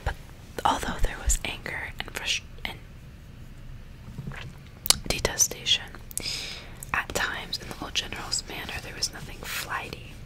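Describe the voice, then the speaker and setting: A woman's voice: a little slow, long pauses, low-quality recording. Whispering woman reading a story. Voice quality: breathy, fully unvoiced whisper. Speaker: ASMR creator reading a novel aloud. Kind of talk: whispered ASMR storybook reading with playful asides.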